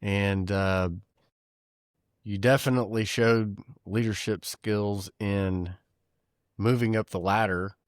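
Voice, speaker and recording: A middle-aged man hosting a conversational interview, folksy and curious, with quick reactions. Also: lowish voice, steady tone, dry recording, studio quality. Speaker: podcast host.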